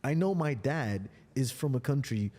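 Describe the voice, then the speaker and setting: A man's voice: mid-range voice, expressive, normal speed, mellow. Fired-up male host with long reverb. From the Netherlands. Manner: emphatic and fired up, swears for emphasis. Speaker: podcast host. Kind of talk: hyped motivational podcast chat.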